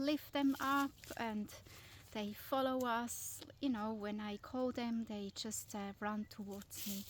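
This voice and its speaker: A woman's voice: on the high side, a little hiss or hum. A middle-aged woman from Switzerland with a Swiss German accent, non-native in English, chatting casually with many fillers as she gives a walking tour of her garden outdoors. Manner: chatty, informal, with frequent fillers and restarts. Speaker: vlogger, lifestyle property owner.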